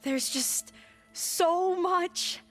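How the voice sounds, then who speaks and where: An actress playing an earnest, excitable young diviner in a video game, eager and rambling, with precise scholarly wording. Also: female voice, very high voice, extremely slow, soft background music, heavily acted. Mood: excited, awestruck. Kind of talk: dramatic video game acting.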